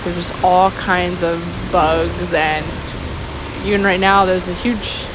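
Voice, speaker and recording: A woman with a fairly low voice rambles casually in a vlog voice-over. She muses in halting phrases, one thought at a time. Steady rushing waterfall noise is clearly audible behind her outdoor recording. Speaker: vlogger.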